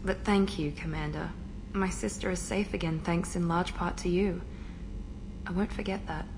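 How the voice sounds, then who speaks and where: An actress voicing a composed adult female operative who talks in a measured, reserved way, in a game cutscene. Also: lowish voice, fairly even intonation, natural voice. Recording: game audio with ambient noise.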